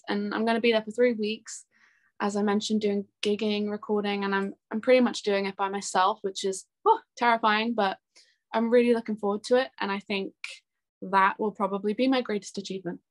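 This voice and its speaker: A woman in her twenties speaking as an interview guest in a casual, chatty and expressive way. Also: mid-range voice, brisk. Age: 20s. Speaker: interview guest, singer-songwriter.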